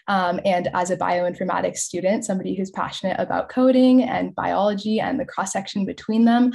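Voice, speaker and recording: A woman's voice: fairly low pitch, creaky, darkish tone. A woman of about twenty with a Californian American accent, answering as a student panelist in an informal way. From California, United States. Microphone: video call.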